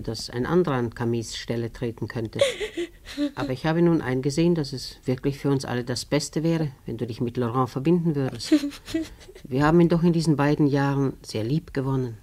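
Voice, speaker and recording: An actress playing a grieving, gentle elderly mother-in-law in a German radio drama, measured and motherly. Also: rumbling low. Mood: gentle persuasion.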